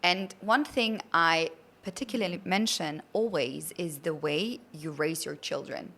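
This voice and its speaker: A woman's voice: sits low, unhurried, vocal fry, a bit bright. A woman hosting a podcast, chatting casually and enthusiastically with an effusive, complimentary manner. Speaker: podcast host. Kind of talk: casual enthusiastic interview chat.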